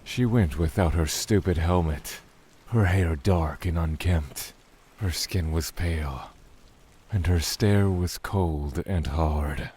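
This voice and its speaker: An actor playing a brooding lord of a dark void realm in an audio drama, speaking in a measured, solemn way. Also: male voice, low-pitched. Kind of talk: audio drama first-person narration and dialogue. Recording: faint noise under rain and thunder. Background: rain and thunder ambience.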